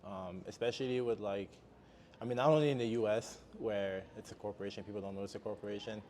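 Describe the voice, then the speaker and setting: A man hosts a podcast in a fairly low voice, thinking aloud in winding sentences that keep pausing on "um". He talks casually with his guest. A faint hiss of background noise runs under the recording. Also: steady tone, a little mellow. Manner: meandering, hesitant with "um" fillers. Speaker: podcast host. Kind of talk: casual interview chat.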